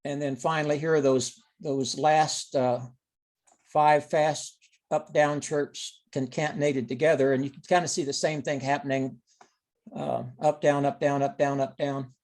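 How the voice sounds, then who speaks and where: A man's voice: a little high, little pitch movement, measured pace, a little mellow. A man giving an informal technical presentation on a conference call, explaining things step by step. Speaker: presenter of experimental data. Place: online teleconference call.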